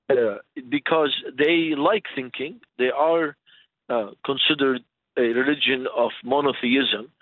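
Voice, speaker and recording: A man's voice: medium pitch, no echo. A man who speaks English as a second language, teaching conversationally as a radio guest and posing rhetorical questions. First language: not English. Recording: narrow, tinny phone-like line.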